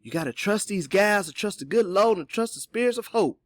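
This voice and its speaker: A man's voice: high voice, expressive, a little fast, no room sound, studio quality, pitched above the performer's own voice. A man voicing an old enslaved Southern man in an audiobook, sly and hopeful, giving urgent, folksy counsel. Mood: earnest. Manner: whispering Southern dialect speech, urgent and folksy.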